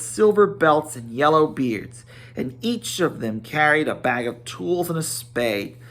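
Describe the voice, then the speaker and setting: A man giving an amateur dramatic storybook reading, expressive, with occasional stumbles over words. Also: fairly high pitch. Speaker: amateur audiobook reader. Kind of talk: dramatic storybook narration with character voices.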